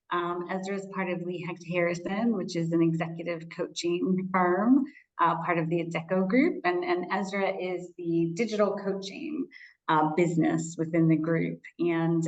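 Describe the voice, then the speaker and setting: A woman from the United States, a guest speaker, introducing herself with enthusiasm on a video call. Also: on the low side. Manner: upbeat, enthusiastic. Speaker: guest speaker, coaching business leader. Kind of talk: online webinar introduction. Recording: dull lo-fi call audio.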